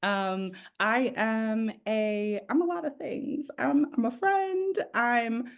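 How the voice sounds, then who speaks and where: A woman's voice: fairly high pitch, takes it slowly, vocal fry. A young woman from the Bronx speaking casually as a guest on a podcast. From the Bronx, New York, USA. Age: young adult. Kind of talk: casual chat.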